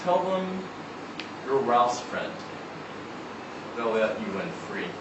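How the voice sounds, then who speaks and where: A man voicing a big, weary, gruff male drifter in a story reading, terse and serenely cryptic. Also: mid-range voice, very animated, takes it slowly. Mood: inviting. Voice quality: gruff, rough. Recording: roomy, distant, noisy live event recording.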